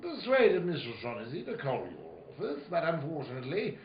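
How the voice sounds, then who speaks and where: Older noir villain, jovial and florid, theatrical higher-set voice, muffled audio with faint noise. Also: male voice, very animated, reverberant. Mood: genial, storytelling. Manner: florid, courteous, theatrical drawn-out phrasing. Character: jovial, crafty, pompous older villain obsessed with a treasure. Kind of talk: old-time radio noir acting.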